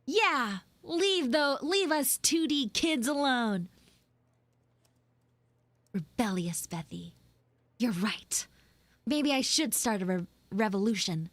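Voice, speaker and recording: Woman playing a high-pitched dramatic tsundere anime girl. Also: lively intonation, slow pace, long pauses, no room sound. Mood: rebellious, dramatic. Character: bratty, dramatic tsundere two-dimensional anime girl, student. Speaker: streamer, voice actor. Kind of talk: live improvised audio roleplay, chatting with listeners.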